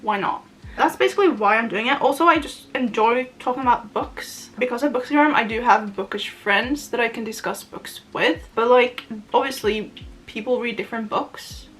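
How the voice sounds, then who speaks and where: A young Norwegian woman with a fairly high voice chats in English into the camera while she does her make-up. She rambles freely, laughs often and fills her sentences with "like". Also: normal speed, a bit bright. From Norway. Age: young adult. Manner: rambling, giggly, lots of "like". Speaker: booktuber. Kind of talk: chatty get-ready-with-me vlog. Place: at home, doing her make-up.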